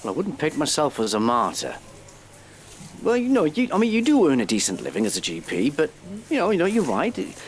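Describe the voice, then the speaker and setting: An actor playing a well-meaning, educated family doctor with a British accent in an audio play, speaking in a hesitant, articulate, slightly prim way. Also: male voice, a little high, a little hiss or hum. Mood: thoughtful. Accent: British English.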